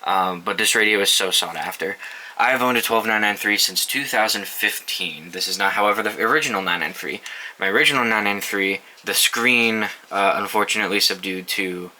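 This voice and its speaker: A man's voice: on the low side, moderate pace, sharp tone, slight background noise, rough recording. A young man casually reviewing his collection of weather radios, offhand and swearing now and then, with many ums and restarts. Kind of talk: casual show-and-tell review.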